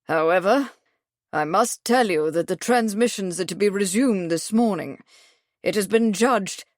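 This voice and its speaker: A woman's voice: mid-range voice, unhurried, darkish tone, no room sound, close to an everyday voice. A polite young man, flustered and stumbling over his apologies, played by a woman narrator in a voice close to her own. He sounds hesitant and eager to please. The recording is dry and close.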